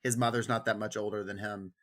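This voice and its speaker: A man's voice: fairly low pitch, level tone, fast, fairly bright tone. A man co-hosting a podcast in casual book discussion, jokey and profane, often slipping into acted-out quotes. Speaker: podcast co-host.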